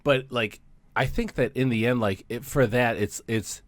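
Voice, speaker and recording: A man's voice: medium pitch, normal speed, dry recording, studio quality. A man co-hosting a podcast, teasing and laughing through casual banter. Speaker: podcast co-host. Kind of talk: casual chat.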